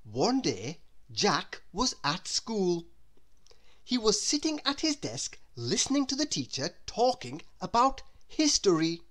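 Slow, highly animated woman reading a story. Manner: deliberate, expressive, addressing a young listener. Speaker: storybook reader. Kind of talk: scripted children's story narration.

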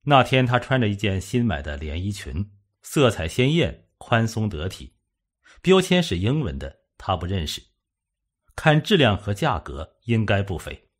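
A man's voice: lowish voice, very animated, measured pace, breathy edge. A man narrating a suspense novel from a script, as an audiobook reader.

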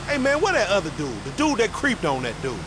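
An actor playing a loudmouthed, suspicious young street hustler in a video game, talking in teasing, profane slang. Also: male voice, sits high, huge pitch swings, fairly quick. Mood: nosy, skeptical. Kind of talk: video game dialogue, bantering. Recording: vehicle noise behind the voice.

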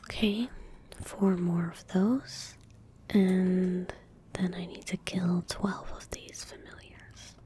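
A young woman softly whispering ASMR game commentary in a breathy, gentle, hushed voice, close to the microphone. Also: on the low side, fairly even intonation, slow pace. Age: young adult. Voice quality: whispery, breathy, soft-spoken. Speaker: ASMR gaming streamer. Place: indoor room at a desk. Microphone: close desk microphone. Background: keyboard typing and mouse clicks.

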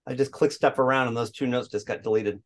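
A man's voice: mid-range voice, steady tone, fast talker. Meetup host, chatty man narrating his screen on a video call. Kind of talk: casual video call demo.

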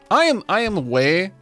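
A man's voice: high-pitched, a bit bright. A man whose first language is Welsh, chatting through a game and talking to his settlers. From Wales. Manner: chatty, talks to his settlers, self-deprecating. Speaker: let's play host. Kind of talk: casual gameplay commentary.

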